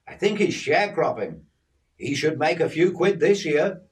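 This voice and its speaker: A man's voice: fairly high pitch, sing-song, normal speed, recorded from a distance, voice pushed up. A man voicing a friendly, chatty young country lad with an Australian accent. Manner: chatty, easygoing.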